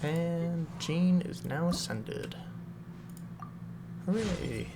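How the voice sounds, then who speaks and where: A man's voice: on the high side, extremely slow, gravelly. A man doing casual live gameplay commentary as a streamer, thinking aloud. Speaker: game streamer. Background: loud game music.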